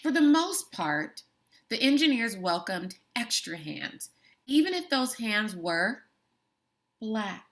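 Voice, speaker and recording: A woman teacher reads a book chapter aloud for her students at a measured, deliberate pace. She stresses important words and now and then breaks off for a brief comment of her own. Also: on the high side, sing-song, a bit bright. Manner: slow, deliberate reading with emphatic words and brief asides. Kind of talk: classroom read-aloud of a book.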